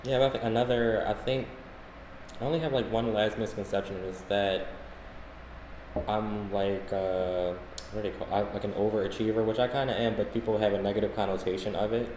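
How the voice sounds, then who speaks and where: A man's voice: on the low side, steady tone, brisk, a little mellow. A man co-hosting a podcast, telling casual anecdotes in a conversational way. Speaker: podcast co-host. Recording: echoey room, faint background noise.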